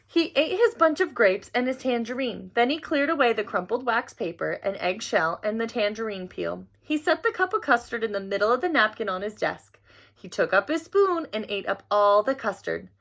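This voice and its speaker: A woman reading a picture book aloud to children in an expressive storytelling style. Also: on the high side, normal speed.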